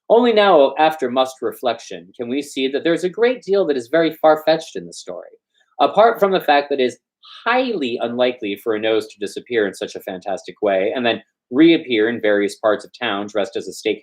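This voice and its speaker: A man reading a story aloud as host, with a theatrical, playful storytelling delivery. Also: medium pitch. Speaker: host and reader of a storytime show. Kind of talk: dramatic storytelling read-aloud.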